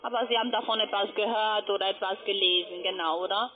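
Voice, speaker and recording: A woman's voice: medium pitch, steady tone, quick pace, soft background music. Woman on a tinny phone. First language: not German. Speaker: telemarketer. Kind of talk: sales call. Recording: tinny telephone line.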